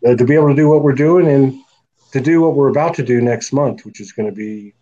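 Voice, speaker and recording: A man's voice: mid-range voice, fast talker, dark tone, lo-fi sound, a little hiss or hum. A man hosting a live online talk show in a loose, chatty way, laughing often and thanking viewers by name. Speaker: host.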